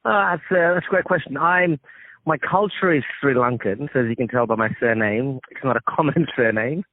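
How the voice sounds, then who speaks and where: A man's voice: medium pitch, brisk, no echo. Australian man on a tinny phone line. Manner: chatty, laughs easily, uses many fillers. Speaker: actor and comedian guest. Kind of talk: casual phone interview.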